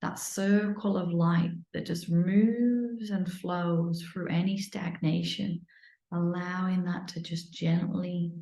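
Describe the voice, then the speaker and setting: A woman leads a guided meditation in a soothing, unhurried manner, slipping at times into near-whispers. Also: on the low side, vocal fry, mellow. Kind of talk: guided meditation, improvised live. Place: indoor room, live online video session. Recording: roomy, echoey sound.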